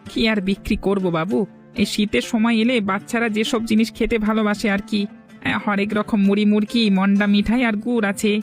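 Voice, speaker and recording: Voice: mid-range voice, level tone, fairly quick, faint music behind, close to an everyday voice. An actor voicing a humble, respectful male village pushcart snack seller, homely in manner, in a cartoon story. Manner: deferential, homely.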